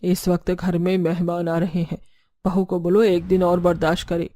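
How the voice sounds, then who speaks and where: A woman's voice: on the low side, level tone, normal speed, mellow, pitched below the performer's own voice, lightly acted. A woman playing a stern older Indian mother-in-law who scolds and bosses in a cartoon story. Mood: commanding. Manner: scolding, bossy. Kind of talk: Hindi moral cartoon story acting.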